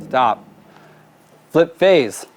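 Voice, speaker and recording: A man's voice: a little high, expressive, extremely slow. Informal man directing a studio session, noisy recording. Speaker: music producer giving a mixing demonstration. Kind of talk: casual hands-on tutorial, giving instructions to crew. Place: recording studio with drum kit and PA.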